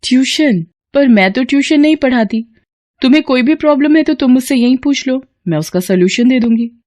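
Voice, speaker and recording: Woman playing a brisk, scolding lady professor. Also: expressive, darkish tone. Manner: raised pitch, brisk scolding teacher tone. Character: young woman economics professor, firm but kind.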